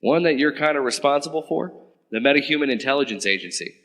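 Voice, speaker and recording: A man's voice: medium pitch, lively intonation, fast talker, a bit bright, big-room sound. A man playing a sarcastic young agent. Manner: sarcastic, flustered. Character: wisecracking young male government agent. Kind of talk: audio drama acting.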